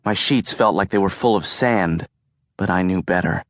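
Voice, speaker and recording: Guy reading a novel out loud, earnest and steady, fairly low voice, super dry studio sound. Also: dry recording. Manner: earnest, measured storytelling. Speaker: audiobook narrator. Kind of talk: first-person audiobook narration.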